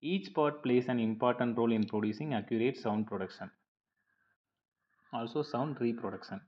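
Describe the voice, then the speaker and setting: A dull, lo-fi recording carries a man's mid-pitched voice. He reads a prepared lecture as a teacher would, explaining point by point in a measured, slightly halting manner. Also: darkish tone. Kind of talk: scripted lecture narration over slides.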